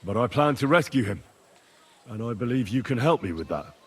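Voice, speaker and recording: An actor voicing an earnest, determined young squire in a video game, with a slightly husky voice. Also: male voice, medium pitch, animated, brisk. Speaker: game protagonist.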